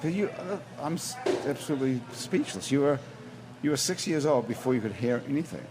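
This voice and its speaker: A man's voice: medium pitch, lively intonation, a little fast, low-quality recording. A man hosting a casual bar chat, teasing his guests with incredulous questions. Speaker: host. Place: bar during happy hour.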